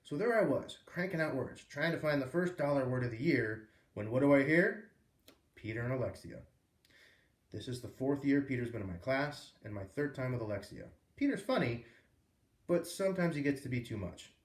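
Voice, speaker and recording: A man reading a children's book aloud as a storyteller, with expressive, emphatic phrasing. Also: medium pitch, moderate pace. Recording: roomy echo, distant microphone.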